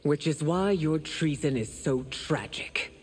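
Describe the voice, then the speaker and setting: A woman playing a regal, stern empress in a video game, speaking formally and with measured command. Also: low voice, darkish tone, husky. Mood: stern, wrathful. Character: regal, stern warrior empress, compassionate but wrathful. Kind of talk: dramatic video game acting. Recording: long reverb, faint background noise.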